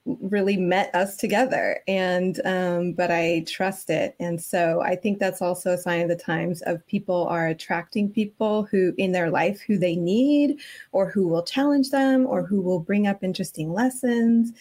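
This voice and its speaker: Slightly low-voiced woman hosting a conversation. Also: unhurried, darkish tone. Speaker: podcast host, interviewer. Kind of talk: conversational interview.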